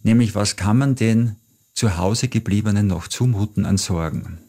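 A middle-aged man with an Austrian German accent, a travel author telling anecdotes in a reflective, measured way as an interview guest. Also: on the low side, warm tone. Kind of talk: interview answers, storytelling.

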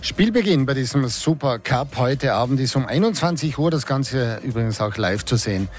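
A man's voice: mid-range voice, lively intonation, moderate pace. A man presenting on regional radio, loose and chatty with small fillers. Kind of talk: live radio moderation.

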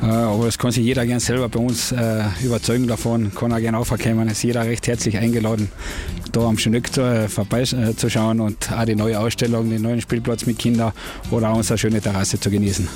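A man's voice: mid-range voice, little pitch movement, brisk, darkish tone. A man with a Carinthian Austrian accent, an innkeeper interviewed on radio, talking easily with many hesitations. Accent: Carinthian Austrian German. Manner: easygoing, hesitant with fillers. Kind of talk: casual interview.

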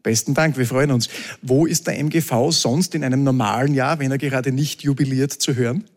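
A man's voice: medium pitch, fairly even intonation, a little fast, darkish tone. A man hosting a folk music show, friendly and a little formal as he introduces guests. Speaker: host.

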